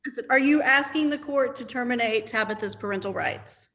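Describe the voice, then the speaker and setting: Gravelly-voiced woman attorney on a video call. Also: a little high, fairly quick, a bit bright. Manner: formal, methodical yes-or-no questions. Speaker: attorney questioning witnesses. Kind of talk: courtroom questioning on a video call.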